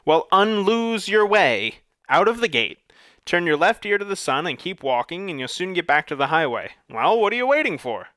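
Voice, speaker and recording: Guy putting on a loud blustery bear-headed monster, pitched up, bright and crisp, storybook reading. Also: big pitch swings, moderate pace, no room sound, clean studio sound. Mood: grudging. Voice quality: roaring, blustering.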